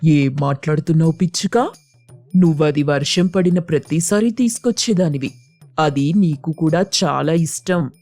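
A woman's voice: sits low, moderate pace, dark tone, soft background music. A woman voicing a coaxing, complaining husband crow in a children's cartoon story. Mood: mildly reproachful. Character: affectionate, nagging husband crow craving hot fritters.

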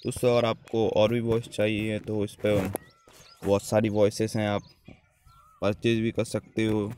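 A man's voice: lowish voice, completely flat delivery, measured pace, darkish tone, slight background noise. A man presenting an app tutorial as a voice-over for a gaming channel. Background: background music.

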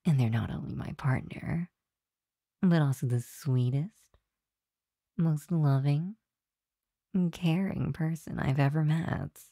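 A woman playing a sweet, tired demon girlfriend in an intimate ASMR roleplay, talking softly and affectionately to the listener. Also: low voice, slow pace, long pauses, no echo, studio quality, exaggerated voice. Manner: soft, affectionate, sighing, confiding. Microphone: close microphone, dry room.

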